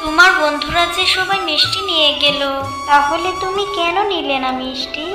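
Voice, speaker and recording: A sly village sweet-seller acted by a woman in a fairy-tale cartoon, high-pitched and coaxing with a sing-song lilt. Her sweetness covers a wicked streak. The sound is echoey, with music clearly behind it. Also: moderate pace, natural voice. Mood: coaxing, syrupy. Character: sweet-talking village sweet-seller woman hiding a child-snatching menace.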